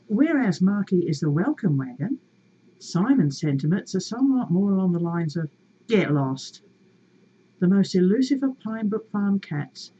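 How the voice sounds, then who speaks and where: A woman reading a story aloud in a measured, expressive and wry way, with casual asides to her viewers. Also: lowish voice, lo-fi sound, a little hiss or hum.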